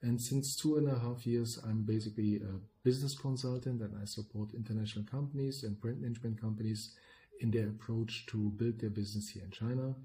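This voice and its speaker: A middle-aged man with a German accent, co-hosting a podcast and explaining business topics in a measured way, often checking in with "right?". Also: on the low side, steady tone, mellow. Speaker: podcast co-host, business consultant.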